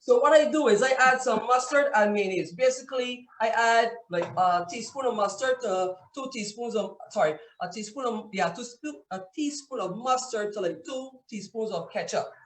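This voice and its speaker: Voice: medium pitch, normal speed, few pauses. Cook demoing on a call, step-by-step talker, far from the mic, roomy echo, bit of faint noise. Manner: explains step by step with many "right?" checks. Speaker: chef cooking live. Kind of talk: cooking demonstration on a video call. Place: home kitchen. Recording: distant echoey room with faint noise.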